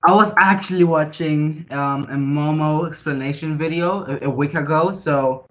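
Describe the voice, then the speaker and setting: A man's voice: a little high, moderate pace, fairly bright tone. A man in a casual anime debate, talking in a contrarian, defensive way.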